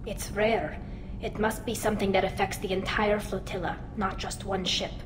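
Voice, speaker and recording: A woman's voice: medium pitch, steady tone, normal speed, echoey room, background noise, close to an everyday voice. A woman playing an earnest young alien woman accused of treason, speaking formally in video game dialogue. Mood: somber, explaining.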